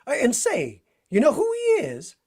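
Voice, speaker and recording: Casting: playful, tough Southern sidekick, folksy drawl, rough husky tone, hugely animated pitch, vintage radio style. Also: male voice, normal speed, heavily acted. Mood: breezy, boastful. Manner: drawling folksy slang with chuckles. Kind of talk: vintage radio drama acting.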